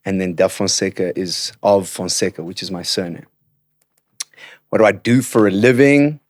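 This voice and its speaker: Low-voiced podcast guest, easygoing. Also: male voice, normal speed, no echo, clean studio sound. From Portugal. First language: Portuguese. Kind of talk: casual chat. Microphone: studio mic.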